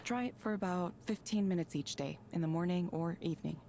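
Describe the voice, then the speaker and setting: A woman playing a detached counselor in a video game, speaking in a measured, neutral, formal manner. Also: lowish voice, dark tone, slight background noise, close to an everyday voice. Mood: clinical calm. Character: calm, clinical, detached counseling proxy.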